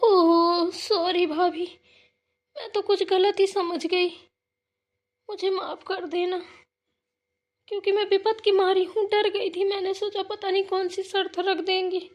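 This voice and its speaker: A very high-pitched put-on woman's voice plays a distressed married daughter in a village cartoon. She sounds touchy and emotional, with a plaintive way of talking. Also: little pitch movement, a little slow. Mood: apologetic, plaintive. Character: touchy, distressed married daughter visiting her parents' home. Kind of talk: Hindi family moral cartoon dialogue.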